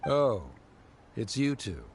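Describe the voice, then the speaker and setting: A man's voice: medium pitch, lively intonation, a crowd in the background. Stiff, formal scholar father. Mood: reserved, formal. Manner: formal, measured wording without contractions. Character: stiff, proud, reserved scholarly father, middle-aged. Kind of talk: video game voice acting.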